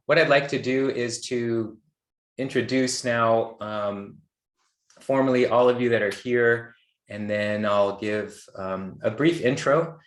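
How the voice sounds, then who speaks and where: Man hosting a video panel, slightly low voice, hesitant, roomy echo. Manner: hesitant, frequent ums and restarts. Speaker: host. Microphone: video call. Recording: echoey room.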